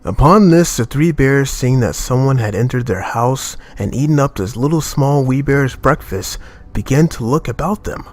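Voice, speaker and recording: A man narrating a children's tale as a storyteller, reading expressively in a measured manner. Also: mid-range voice, expressive, faint music behind.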